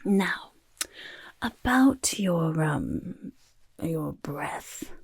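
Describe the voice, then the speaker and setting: A woman voicing a chaotic, motherly goblin overlord who loves filth, in a soft-spoken ASMR roleplay, coaxing and fussing in breathy, creaky whispers with repeated words, close to the microphone. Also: low voice, expressive, extremely slow, dry recording, exaggerated voice. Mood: gleeful, coaxing. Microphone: close microphone, dry sound.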